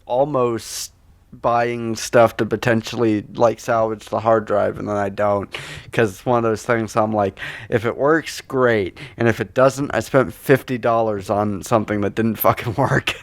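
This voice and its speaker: A man's voice: fairly low pitch, steady tone, a little slow. A man co-hosting a casual comedy podcast, rambling and sweary, speaking close to the microphone. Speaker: podcast co-host.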